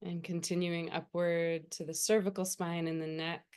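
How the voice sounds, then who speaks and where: A woman leading a guided meditation as a teacher, speaking gently and soothingly with unhurried pauses between phrases. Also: fairly low pitch, little pitch movement, clear, resonant tone.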